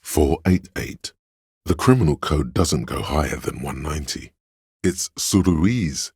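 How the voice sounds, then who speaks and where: Casting: pedantic inner narrator for a game, husky bass, erudite and pompous, measured delivery, close dry sound. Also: male voice, expressive, a little mellow, no echo, heavily acted. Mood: lecturing, matter-of-fact. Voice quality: very deep, gravelly, husky. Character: pedantic know-it-all inner voice, a walking encyclopedia of trivia.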